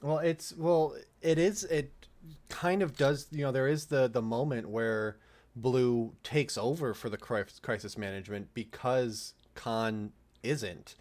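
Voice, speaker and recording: A man giving casual, analytical commentary in a halting way, restarting as he picks his words. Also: mid-range voice, darkish tone. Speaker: audio drama cast or crew member giving commentary.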